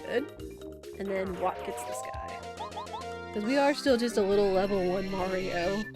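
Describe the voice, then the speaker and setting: A woman's voice: mid-range voice, normal speed. Congested, chatty young woman streaming a game. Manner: chatty, rambling, with fillers. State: congested, nasal from a cold. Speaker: gaming streamer. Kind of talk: casual live-stream commentary. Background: game music.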